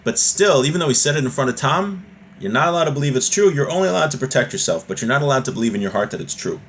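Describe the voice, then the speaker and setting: A man's voice: mid-range voice, animated, racing, fairly bright tone. A man giving an informal religious lesson in a didactic, conversational way, reading from a text and then explaining it. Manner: didactic, conversational, checks in with "right?". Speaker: religious teacher. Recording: noisy background ambience.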